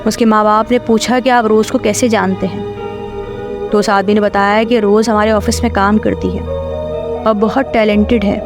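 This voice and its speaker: A woman reading a scripted horror story as its narrator. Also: a little high. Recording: clear music bed under voice.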